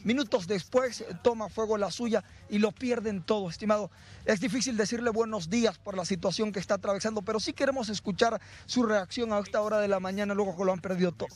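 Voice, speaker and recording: Honduran guy reporting live outdoors, handheld mic, high voice, pushing the camera along. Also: fast talker. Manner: urgent, guiding the camera. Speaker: field reporter. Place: outdoors at burned homes.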